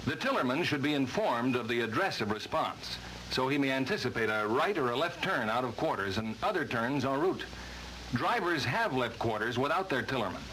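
A man's voice: fairly high pitch, sharp tone, a little hiss or hum, rough recording. A man reading formal, precise instructional narration for a vintage training film, on a worn old soundtrack.

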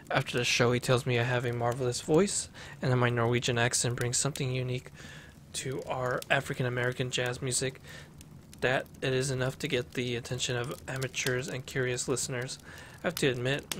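A young man streaming a horror game from a small room at home, reading its notes and diaries aloud in an even, steady way over his headset mic. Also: medium pitch, a little hiss or hum. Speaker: gameplay streamer. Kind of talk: reading game text aloud while playing. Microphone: headset with desk mic.

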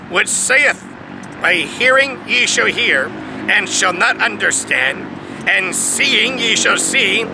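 A man in his thirties preaching an emphatic sermon in an open field, reading scripture aloud and rising and falling for emphasis. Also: high-pitched. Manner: emphatic, preaching with swells and drops, reads verses aloud. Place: large open field outdoors. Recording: outdoor traffic noise behind. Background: distant traffic noise.

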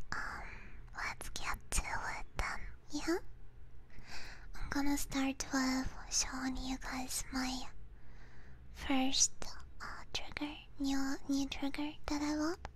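Slow, whispering woman in ASMR style. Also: high-pitched, completely flat delivery. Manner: hushed, mostly whispered, unhurried and halting. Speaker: ASMR streamer. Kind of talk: ASMR whispering, casual chat with viewers. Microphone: close binaural ear microphone. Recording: clear background noise.

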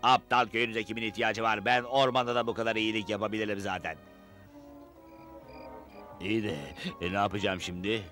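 A man's voice: lowish voice, little pitch movement, a little fast, pauses often, sharp tone. A man voicing a grumpy, scheming little wizard in a cartoon dub, whining and sputtering with theatrical false sweetness. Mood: gloating, defiant. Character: grumpy, scheming male wizard shrunk small, feigning niceness. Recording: music behind the voice.

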